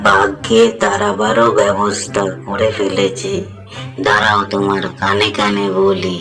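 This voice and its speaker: A man's voice: fairly low pitch, flat delivery, a little slow, lightly acted. A man voicing a cunning, reassuring monkey husband and father in a children's cartoon. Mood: reassuring, scheming. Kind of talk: cartoon dialogue. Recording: loud music behind the voice.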